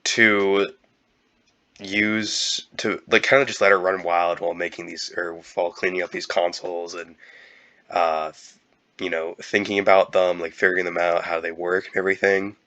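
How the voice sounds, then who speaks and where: A young man with a cold, talking in a halting, casual way with many fillers and restarts. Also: low voice, flat delivery, sharp tone, dull audio. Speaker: YouTuber. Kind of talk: casual year-end vlog.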